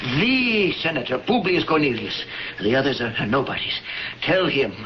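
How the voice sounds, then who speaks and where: A greedy, craven tavern keeper, played by a male actor begs in breathless stammers. The delivery is theatrical radio drama acting, on a dull recording with clear background noise. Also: mid-range voice, very animated, normal speed, natural voice. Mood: urgent, wheedling. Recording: muffled, noisy old radio recording.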